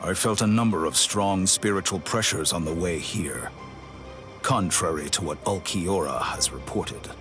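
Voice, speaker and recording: A man voicing a composed, formal villain's henchman in an anime game, speaking in a measured way. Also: low-pitched. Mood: cool, assured. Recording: music behind the voice.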